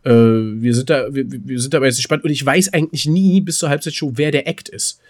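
A man's voice: mid-range voice, steady tone, a little fast. A man in casual podcast chat, rambling and self-deprecating, with coarse slang. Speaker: podcast co-host. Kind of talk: casual chat, recounting his weekend.

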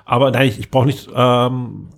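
A man with a slightly husky voice, hosting a casual podcast chat in a hesitant, conversational way. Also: mid-range voice, steady tone, normal speed. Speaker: podcast host. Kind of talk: casual podcast chat about radio plays.

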